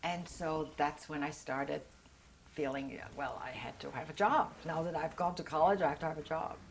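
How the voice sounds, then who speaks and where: A woman's voice: low-pitched, moderate pace, a little hiss or hum. Older German-native woman, reflective and earnest. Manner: reflective, earnest and searching for words. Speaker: interviewee. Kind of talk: oral history interview.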